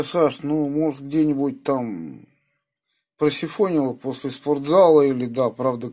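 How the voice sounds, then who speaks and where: A man with a sore throat from a cold, chatting in a husky, subdued way over FM radio. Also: a little mellow. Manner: low-key, subdued. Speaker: amateur radio operator. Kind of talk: casual radio chat. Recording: FM two-way radio transmission.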